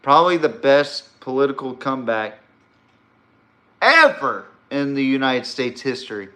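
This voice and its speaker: Male podcast host, unhurried delivery with a bright, forceful edge; blunt, rambling, opinionated. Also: medium pitch, very animated. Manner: rambling, blunt, profane, emphatic. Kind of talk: casual opinionated sports and politics commentary.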